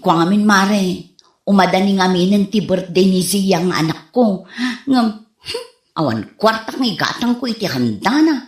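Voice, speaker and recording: A woman's voice: mid-range voice, animated, moderate pace, close to an everyday voice. A woman playing a fault-finding, gossipy neighborhood mother in an Ilocano comedy radio drama, with an Ilocano accent. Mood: worried. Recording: roomy echoey sound.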